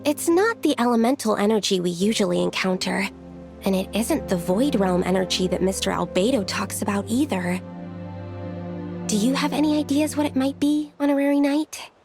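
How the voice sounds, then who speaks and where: An actress playing a polite, earnest young magic researcher with a formal, careful manner in a video game. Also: female voice, mid-range voice, lively intonation, normal speed, creaky, over music. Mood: earnest, puzzled.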